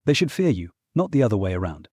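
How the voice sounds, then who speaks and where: Scheming ex-god uncle, acted by a man: imperious, persuasive, dark-toned and husky, hungry for old glory, fantasy audiobook. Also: lowish voice, big pitch swings, quick pace, dry recording, studio quality. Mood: scornful, insistent. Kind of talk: full-cast fantasy audiobook acting.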